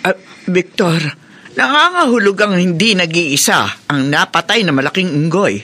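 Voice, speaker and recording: A woman's voice: fairly low pitch, expressive, normal speed, sharp tone. A woman playing a fretful, protective older mother in a radio drama, speaking with anxious emphasis. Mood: alarmed.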